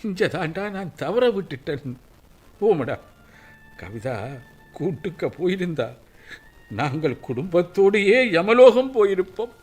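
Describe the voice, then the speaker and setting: An actor playing a remorseful older father in a radio drama, speaking slowly and heavily. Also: sits high, sing-song, darkish tone, breathy edge, soft background music, heavily acted. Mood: agitated. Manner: slow, heavy, contrite speech.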